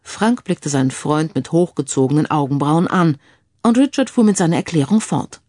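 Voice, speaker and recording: A woman's voice: low voice, lively intonation, normal speed, darkish tone, dry recording, clean studio sound. A woman reading scripted crime fiction as an audiobook narrator.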